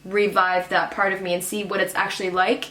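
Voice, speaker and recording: Brief: young woman, German native speaker, talkative and rambling with lots of fillers, mid-pitched voice, recorded in an echoey room. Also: little pitch movement, brisk, sharp tone. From raised abroad in Cairo, Dubai and Shanghai. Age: young adult. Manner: chatty, laughs often, rambling with many fillers. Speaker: guest. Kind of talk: casual conversation.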